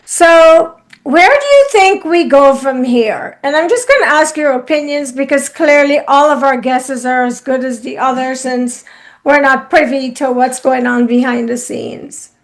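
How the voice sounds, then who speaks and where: A woman's voice: sits high, unhurried. A woman from Guyana hosting a casual talk show, asking questions and steering the conversation. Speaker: host.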